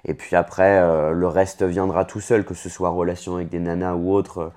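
Casual young Frenchman with a lowish voice. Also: level tone, moderate pace, darkish tone. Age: late 20s. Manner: informal, franglais slang mixed in. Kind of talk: casual chat about career and personal life.

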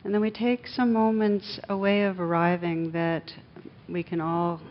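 A woman leading a guided meditation as the teacher, speaking in soothing, measured short phrases with pauses. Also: flat delivery. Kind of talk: guided meditation, slow instructive speech. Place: a room with a live group. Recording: dull lo-fi recording, clear background rumble noise.

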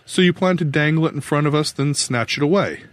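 Calm, probing male investigator read by a man, dry. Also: on the high side, fairly quick, no echo, pitched above the performer's own voice, lightly acted. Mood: accusing. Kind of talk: audiobook character dialogue.

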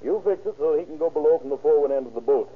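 An actor playing a smug, scheming insurance swindler in an old radio crime drama. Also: male voice, fairly high pitch, animated, racing, breathy edge. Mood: businesslike, scheming. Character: scheming, self-assured male insurance swindler, crooked adjuster. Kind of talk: 1930s-40s radio crime drama acting. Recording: muffled, scratchy old-time radio recording.

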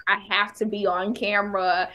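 A woman being interviewed on a podcast, speaking with a medium-pitched voice and a slight stutter. She tells her story candidly in relaxed conversation and laughs often as she goes. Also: moderate pace, rough recording. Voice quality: has a stutter. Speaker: podcast guest.

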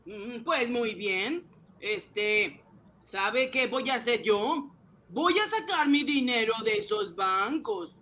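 A man's voice: very high voice, animated, slow pace, pushed and bright, exaggerated voice. A man playing a naive, earnest ordinary saver, a little hesitant, in an amateur radio drama reading.

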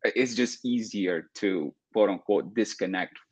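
A young man from El Salvador, speaking as a guest in an interview. He talks earnestly and deliberately, with many "uh" and "you know" fillers. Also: fairly low pitch, husky, no echo. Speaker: guest, political activist. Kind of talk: podcast interview.

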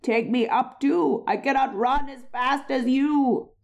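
A man voicing a frog in a fairy tale reading, drawing out his words slowly. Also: extremely high pitch, vocal fry, highs cut off, voice pushed up, full character voice. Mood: desperate. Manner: slow, drawn-out coaxing. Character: slow, coaxing male frog at a well. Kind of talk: storybook reading.

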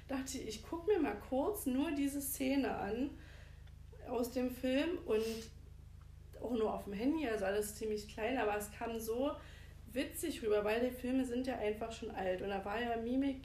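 Higher-voiced woman co-host in an echoey room. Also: mellow. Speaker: podcast co-host. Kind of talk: casual chat, discussing a book and film. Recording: echoey room with clear background noise.

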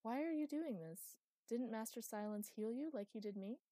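A woman reading aloud, voicing a gentle, soothing hound-like woman. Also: fairly high pitch, lively intonation, moderate pace, dry recording, clean studio sound, voice pushed up, close to an everyday voice.

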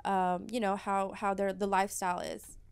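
A woman's voice: medium pitch, little pitch movement, normal speed, vocal fry, clean tone. A woman from Dallas chatting casually as a guest in an interview, talking with many fillers and restarts. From Dallas, Texas. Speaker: guest, host of an adult content show.

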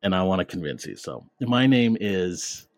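A man's voice: low voice, lively intonation, normal speed, no echo, studio quality. A man hosting a podcast in casual, jokey chat, laughing often and tripping over his words. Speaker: podcast host.

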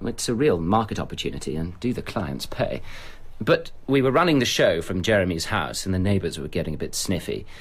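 A man's voice: deep voice, big pitch swings, brisk, few pauses, breathy edge, exaggerated voice. An actor playing an evasive, self-important businessman who justifies himself defensively as a suspect in a radio drama.

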